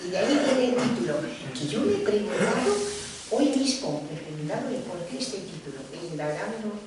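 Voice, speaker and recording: A woman's voice: medium pitch, big pitch swings, a little fast, over a noisy crowd. A woman on a round-table panel, retelling a story in a meandering way and correcting herself as she goes. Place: lecture room. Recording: echoey room from far off.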